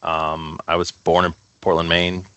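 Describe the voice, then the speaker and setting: A man from the United States chatting casually as an interview guest, halting and unassuming. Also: deep voice, monotone, sharp tone, no echo. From Buffalo, United States. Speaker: interview guest, record label co-founder. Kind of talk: casual chat.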